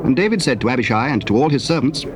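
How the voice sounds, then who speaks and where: Expressive, solemn male narrator. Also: mid-range voice, racing. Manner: solemn, storytelling. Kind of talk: scripted scripture narration. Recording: noisy old audio tape.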